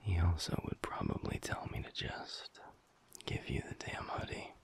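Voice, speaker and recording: A man playing a tender, teasing alpha werewolf boyfriend in an intimate audio roleplay, murmuring softly in a breathy, gravelly whisper with pauses. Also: low voice, huge pitch swings, heavily acted. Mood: tender, wistful. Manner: slow, soft, pausing, murmured.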